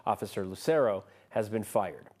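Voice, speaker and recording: A man reading the news as the studio anchor, steady and measured. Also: on the low side.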